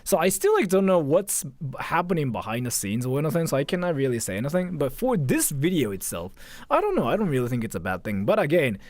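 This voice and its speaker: A young male streamer with very lively, swinging intonation gives off-the-cuff reactions. He speaks in loose slang, often doubling back on his words, and the sound is close and dry. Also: mid-range voice, no room sound. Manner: slangy, hesitant, repeats himself with frequent "like". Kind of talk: casual live reaction commentary.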